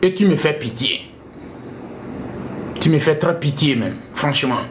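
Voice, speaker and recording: A man's voice: fairly high pitch, animated, unhurried, echoey room, noisy background. A man ranting scornfully on a live stream, repeating himself and mixing French with a local language. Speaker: live streamer addressing viewers. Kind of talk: heated live-stream rant.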